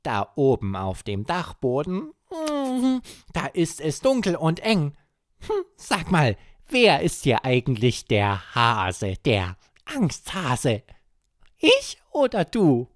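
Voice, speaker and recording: A man's voice: on the high side, wildly swooping pitch, takes it slowly, dry recording, pitched above the performer's own voice, full character voice. A man voicing a cheeky, teasing plush hare who hums and asks playful questions in a children's bedtime story.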